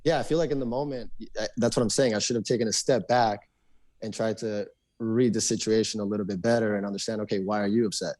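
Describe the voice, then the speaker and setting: A man's voice: on the low side, steady tone, darkish tone, dry recording. A young man from Argentina, a native Spanish speaker, talking casually as a podcast guest with lots of restarts and fillers. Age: young adult. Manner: fast, rambling, many restarts and fillers. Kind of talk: casual interview chat.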